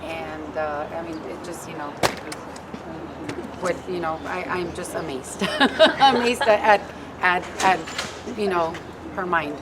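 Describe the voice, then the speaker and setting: Middle-aged mother type, female, very low voice, halting informal delivery, recorded outdoors in heavy urban noise. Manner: hesitant, repeats words. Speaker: parent of a student activist. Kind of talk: informal spoken interview. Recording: loud outdoor urban ambience with vehicles.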